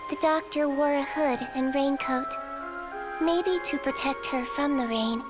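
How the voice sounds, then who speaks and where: A woman voicing a small, gentle female Melusine doctor in a video game, telling a story softly. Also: high-pitched, unhurried. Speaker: game character. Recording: game audio with clear music behind.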